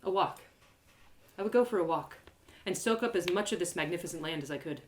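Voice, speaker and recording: A woman reading a first-person fantasy story aloud in an expressive, theatrical and lyrical way. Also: fairly low pitch, a little fast, slight background noise.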